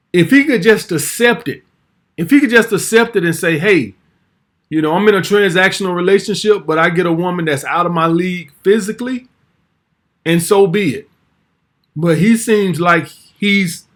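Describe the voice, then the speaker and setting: A man in his forties hosting a casual commentary vlog, talking bluntly and brashly and swearing freely. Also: fairly high pitch. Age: 40s.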